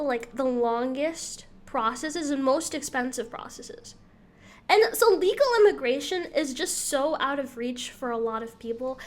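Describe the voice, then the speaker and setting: A woman's voice: high-pitched, fairly bright tone. A young adult woman streaming, talking casually and candidly and swearing freely.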